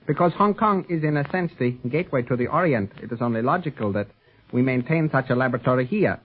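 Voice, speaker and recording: A composed, courteous secret police agent, played by a man in an old 1930s radio adventure. He speaks formally and carefully in a slightly rough, medium-pitched male voice. The sound is narrow and tinny. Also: animated, lightly acted. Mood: assured, formal. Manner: formal, measured, avoids contractions. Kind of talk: 1930s radio serial acting.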